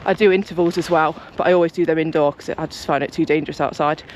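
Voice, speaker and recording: A young woman, chatting casually to her camera as a cycling vlogger, rambling and talkative, her voice a bit croaky from just waking up. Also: deep voice, steady tone, quick pace, slight background noise. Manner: chatty, rambling, talks a lot. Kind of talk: casual vlog chat to camera. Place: home, then outdoors on a walk.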